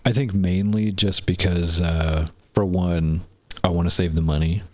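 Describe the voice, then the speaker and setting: Deep-voiced American man in his thirties. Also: fairly even intonation, measured pace. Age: 30s. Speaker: podcast host. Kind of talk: casual chat, interviewing.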